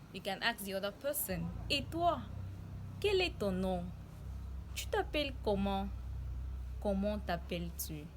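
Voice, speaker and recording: A young woman from Cameroon teaching a beginner language lesson, saying each example phrase slowly and clearly. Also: mid-range voice, a bit bright, a little hiss or hum. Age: young adult. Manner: slow and deliberate, spelling out example phrases. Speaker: French teacher.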